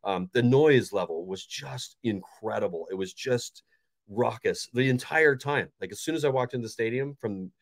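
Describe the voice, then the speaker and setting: A man's voice: mid-range voice, expressive, normal speed, gravelly, no room sound. A man in his forties, a fan channel host, chatting casually and enthusiastically about a match with lots of restarts. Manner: enthusiastic, rambling with many restarts. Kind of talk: casual post-match chat. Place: bright bedroom indoors.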